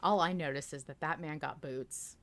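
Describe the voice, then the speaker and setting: A woman's voice: deep voice, normal speed. A woman hosting a casual podcast chat, emphatic and playfully argumentative, swearing freely. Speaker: podcast host. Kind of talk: casual fan discussion chat.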